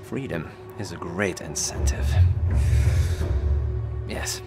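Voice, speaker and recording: Wounded captive informant, low-pitched, hushed and wry, video game drama under loud score. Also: male voice, slow pace. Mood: weary, hushed. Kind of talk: video game cutscene acting. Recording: loud music over the voice.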